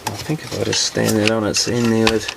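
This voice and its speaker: Man, low voice with plenty of fry, casual workbench commentary as a repair hobbyist, noisy recording with clicks. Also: little pitch movement, brisk. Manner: muttering, thinking aloud while working. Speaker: electronics repair hobbyist presenting a teardown. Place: repair workshop. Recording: clear background noise with clicks.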